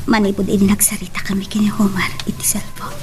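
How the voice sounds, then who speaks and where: A woman's voice: medium pitch, little pitch movement, fast, whispered, lightly acted. A woman playing a distressed young woman in love in an Ilocano radio drama, with an Ilocano accent. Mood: sorrowful, hushed. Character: distressed young woman torn between two suitors.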